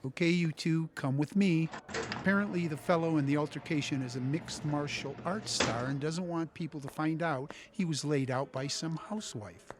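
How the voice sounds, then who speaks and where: A man playing a matter-of-fact jailer in an audio theatre comedy, brisk and official. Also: on the high side, close to an everyday voice. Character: matter-of-fact male jailer releasing prisoners. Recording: clearly noisy recording.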